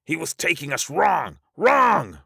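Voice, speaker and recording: A man's voice: on the low side, normal speed, creaky, fairly bright tone, no room sound, studio quality, voice pushed up. Man acting a strained, pleading, desperate spaceman. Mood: angry. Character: desperate, stubborn spaceman afraid of being alone. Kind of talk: science fiction audiobook character voice.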